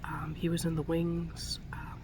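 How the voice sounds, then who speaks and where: A woman whispering breathily in a soft, soothing, halting way as she improvises an ASMR roleplay as a gentle scientist. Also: deep voice, completely flat delivery, noisy background. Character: gentle scientist tending a robot. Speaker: ASMR performer.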